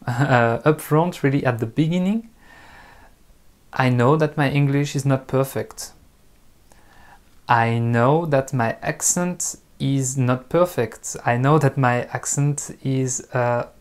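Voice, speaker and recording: Slow, hesitant man with strong French accent. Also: medium pitch. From France. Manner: hesitant, pausing often with ums and uhs. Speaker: host and French teacher. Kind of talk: unscripted talk to camera.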